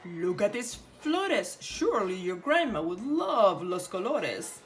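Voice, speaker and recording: A woman's voice: medium pitch, sing-song, slow, soft background music. Woman voicing a sly, hungry storybook wolf. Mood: sly coaxing.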